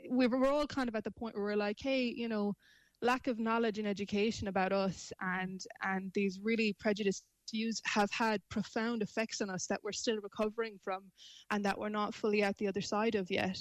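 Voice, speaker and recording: A woman's voice: medium pitch, fairly even intonation, brisk, no room sound. A woman speaking as a panel guest, articulate and reflective, often framing her points as questions. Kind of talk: discussion, opinion.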